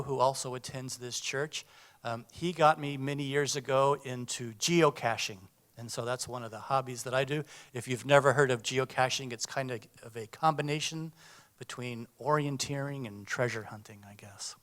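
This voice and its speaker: Middle-aged man with a medium-pitched voice. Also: fairly bright tone. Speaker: panelist, operations manager. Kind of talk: casual self-introduction to a live audience. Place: church panel before an audience.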